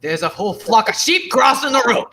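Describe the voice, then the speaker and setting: A young man acts a folksy, pushy henchman in 1930s radio style. The voice is hard-edged and lively, with lots of rise and fall as he orders people about. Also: very high voice, a little fast, full character voice. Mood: exasperated. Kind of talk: 1930s radio play acting.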